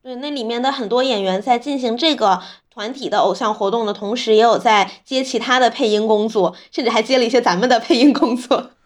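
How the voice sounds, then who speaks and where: A woman's voice: fairly high pitch, fairly quick, few pauses. A woman co-hosting a podcast, talking casually and informatively in conversation. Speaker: podcast co-host. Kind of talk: casual chat about fan culture.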